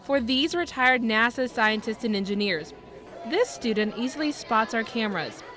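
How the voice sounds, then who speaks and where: A woman's voice: on the high side, animated, normal speed, music in the background, a crowd in the background. A woman television reporter reading scripted news narration.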